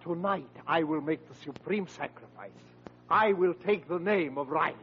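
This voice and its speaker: An actor playing a pompous, scheming, freeloading uncle with grandiloquent speech in an old-time radio comedy. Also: male voice, on the high side, moderate pace, natural voice. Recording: muffled vintage radio recording.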